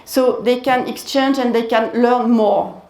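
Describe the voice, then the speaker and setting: A woman giving a business presentation at a conference and taking questions, in a measured way that repeats key phrases. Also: a little high, fairly even intonation, darkish tone. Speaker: conference speaker. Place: conference hall. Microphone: handheld mic. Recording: echoey conference hall.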